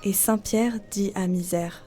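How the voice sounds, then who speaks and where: A woman's voice: mid-range voice, steady tone, measured pace, dark tone, husky. A woman narrating a folk tale as a scripted audio story. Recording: faint music behind. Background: faint music.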